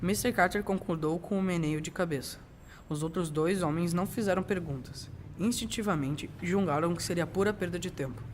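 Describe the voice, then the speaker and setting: A man's voice: high-pitched, a little fast, slight background noise. A young teenage boy with a Brazilian accent reading scripted narration in a 1940s-style school radio drama.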